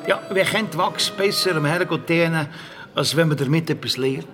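An actor playing a sly, odd pilgrim in a children's radio play, talking in lofty, sententious proverbs. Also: male voice, on the high side, moderate pace, music in the background, lightly acted. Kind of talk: German-language children's radio play acting.

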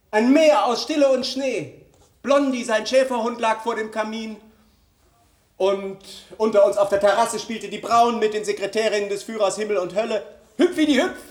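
A man performing a literary monologue as an obsequious, scheming Swiss envoy, formal, deliberate and ingratiating. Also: high-pitched, echoey room. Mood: reverent. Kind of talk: literary monologue, measured narration.

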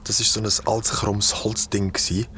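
An actor playing a guilt-ridden young man recounting a horror story in a radio play, with a Swiss German accent and halting delivery. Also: lowish voice, level tone, pushed and bright, slight background noise. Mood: haunted narrating.

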